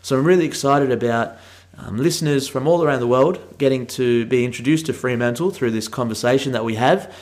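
A man's voice: medium pitch, fairly quick, few pauses, darkish tone. A man with an Australian accent hosting a conversational podcast interview. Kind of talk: conversational interview with spoken introduction. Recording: roomy, echoey sound.

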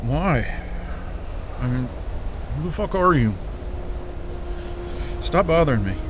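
A man playing an irritable, sweary young astrophysicist in a naturalistic audio drama, talking in a grumbling, halting way. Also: a little high, expressive, noisy background. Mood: groggy, confused. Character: groggy, irritable, freezing young male astrophysicist.

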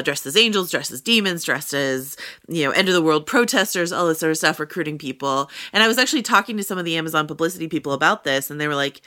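A woman co-hosting a podcast, chatting in a lively way with lots of fillers over a remote line. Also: low-pitched. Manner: chatty, animated, many fillers. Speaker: podcast co-host, senior writer. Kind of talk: casual chat. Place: on location at a festival.

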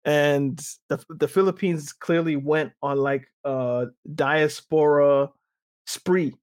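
A man co-hosting a casual sports chat show, talking in a rambling, animated way with many restarts and fillers. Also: fairly high pitch, takes it slowly, clean tone. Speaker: podcast co-host.

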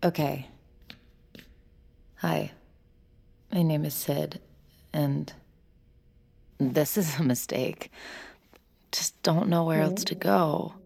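An actress playing a troubled, weary woman with a husky, gravelly voice, brooding and confessional in an intimate audio drama. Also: sits low, extremely slow, long pauses, darkish tone, no echo, heavily acted. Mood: weary, hesitant. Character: troubled, weary, suspicious woman, a relapsed addict.

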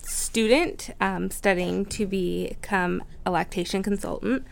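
A woman's voice: medium pitch, steady tone, measured pace, gravelly. A woman aged thirty introducing herself as a panelist. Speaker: panelist, student and mother. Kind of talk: self-introduction.

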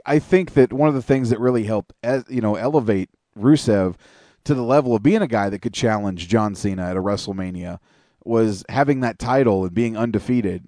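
A man's voice: on the low side, mellow, no room sound. Man, podcast co-host, swears constantly, opinionated wrestling chat, dry close sound. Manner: profane, opinionated, rambling.

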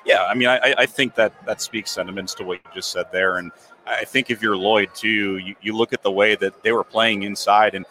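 A man co-hosting a sports talk show, explaining the game in a measured way. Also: on the low side, fairly even intonation, bright, forceful tone, rough recording. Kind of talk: casual sports analysis.

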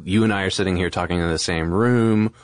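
Guy with a really low voice co-hosting a podcast, casual explaining, super dry close sound. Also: steady tone, a little fast, dry recording. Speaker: podcast co-host.

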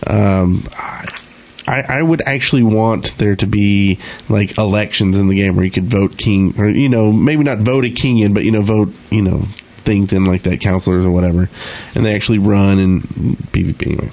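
A man's voice: lowish voice, moderate pace, gravelly, darkish tone. A middle-aged man co-hosting a podcast in casual, joking group chat. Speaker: podcast co-host.

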